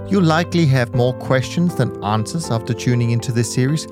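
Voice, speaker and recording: A man giving a formal scripted introduction to a podcast series. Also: mid-range voice. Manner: measured, formal delivery. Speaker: series narrator. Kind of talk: scripted narration. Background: guitar music.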